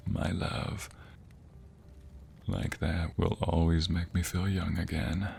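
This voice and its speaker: A man playing an affectionate, playful husband in an intimate ASMR roleplay, speaking tenderly and teasingly with breathy, whispery softness. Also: deep voice, full character voice. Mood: tender, adoring. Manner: teasing, tender, chuckling, with drawn-out soft phrases. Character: affectionate, playful young husband sweet-talking his wife.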